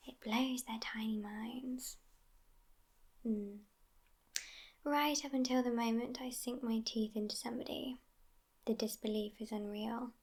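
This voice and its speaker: Slow, whispering vampire girlfriend, acted by a woman. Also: a little high. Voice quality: breathy whisper. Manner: unhurried, soft, intimate whispering. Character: sassy, outspoken immortal vampire girlfriend, world-weary and teasing. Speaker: ASMR roleplay performer. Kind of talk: whispered ASMR roleplay.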